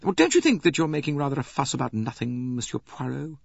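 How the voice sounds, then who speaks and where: Cool clipped young englishwoman put on by a man, raised husky voice, dull dry recording. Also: medium pitch, big pitch swings, quick pace, dry recording, pitched above the performer's own voice, full character voice. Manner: crisp, clipped, cool replies. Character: composed, cool-headed young English governess.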